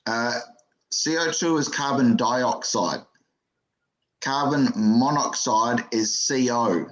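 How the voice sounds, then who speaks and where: Man, average pitch, calm, explains, joke lover. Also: slow, low-quality recording. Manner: explanatory, calm, fond of jokes. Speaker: podcast co-host. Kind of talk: casual chat.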